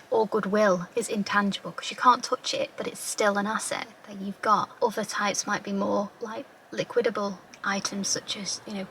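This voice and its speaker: A woman tutor with a slightly croaky voice, patiently explaining accounting terms with everyday examples. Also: mid-range voice, sharp tone, a little hiss or hum, poor audio. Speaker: finance tutor.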